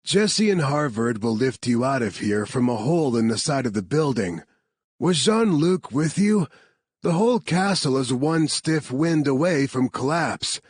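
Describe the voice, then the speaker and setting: A man's voice: medium pitch, expressive, a little slow, husky, no echo, studio quality, voice pushed up. A man voicing a blunt, protective male team leader in an audiobook. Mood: reassuring. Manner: blunt, commanding.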